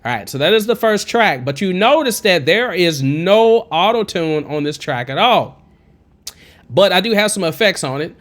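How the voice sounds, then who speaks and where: A man in his thirties talks through a home-studio tutorial in a high, twangy tenor that sits a little above most men's voices. He is chatty and encouraging and wanders off on side notes. He is close on a condenser mic. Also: animated, moderate pace, fairly bright tone. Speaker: music production tutor. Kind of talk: casual screen-recorded tutorial. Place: home studio with green screen. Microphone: studio condenser mic with pop filter, wearing headphones.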